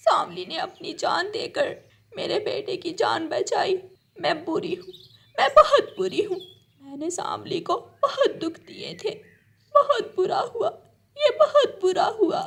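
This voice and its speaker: A woman's voice: extremely high pitch, big pitch swings, unhurried, creaky, voice pushed up, heavily acted. A woman playing an anguished mother crow in a cartoon, pleading and sobbing in a melodramatic way. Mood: remorseful. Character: panicky, remorseful mother crow weeping over her child.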